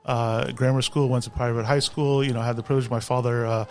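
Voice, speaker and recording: A man's voice: medium pitch, little pitch movement, quick pace, darkish tone. Easygoing middle-aged man from San Francisco. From San Francisco, USA. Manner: easygoing, reflective, laughs at himself. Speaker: guest. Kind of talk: interview storytelling.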